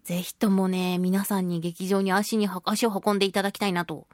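A woman radio host talking casually to her listeners in an expressive, emphatic, chatty way, stretching words for emphasis. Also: medium pitch, brisk, no echo, studio quality. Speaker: radio show host and voice actress.

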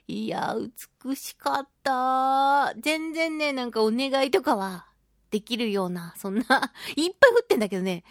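A woman with a high-pitched voice hosts a casual solo radio talk. She tells stories in an animated way, sprinkling in sound-effect words and mock-polite turns of phrase. The sound is close and dry, with very little room on it. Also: no echo. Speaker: radio show host.

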